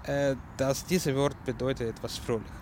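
A man with a Ukrainian accent, a native Ukrainian speaker, presenting music on a community radio show in halting German full of fillers as he searches for words. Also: medium pitch, fairly even intonation, noisy background.

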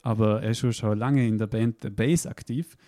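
Chatty radio host, dry sound. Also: male voice, on the low side, fairly even intonation, moderate pace, mellow, no echo. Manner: chatty, playful. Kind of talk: casual radio chat.

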